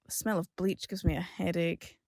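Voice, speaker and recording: Blunt young daughter, close dry sound actress. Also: female voice, on the low side, level tone, moderate pace, gravelly, a little mellow, no echo. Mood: apologetic, candid. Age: young adult. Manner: blunt, sardonic. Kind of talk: audio drama acting, conversational.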